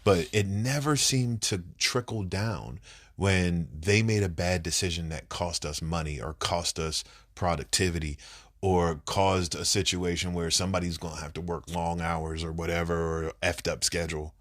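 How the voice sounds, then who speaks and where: Congested deep-voiced man, nasal. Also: steady tone, normal speed. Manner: emphatic, rambling, blunt. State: congested, under the weather. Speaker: podcast co-host. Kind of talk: casual couples podcast chat.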